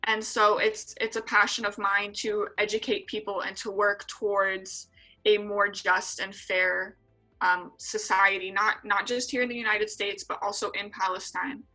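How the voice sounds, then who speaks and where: A woman's voice: mid-range voice, level tone, unhurried, bright, forceful tone, faint music behind, low-quality recording. A woman in her twenties from San Diego, answering interview questions earnestly with frequent filler words. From San Diego, California. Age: 20s. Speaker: interview guest, EMT.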